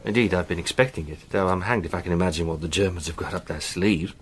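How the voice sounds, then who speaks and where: A man's voice: low voice, fast talker, a little hiss or hum, natural voice. A man playing a breezy adventurer. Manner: breezy, with old-fashioned English slang. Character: adventurous upper-class British gentleman, cheerful. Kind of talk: radio drama acting.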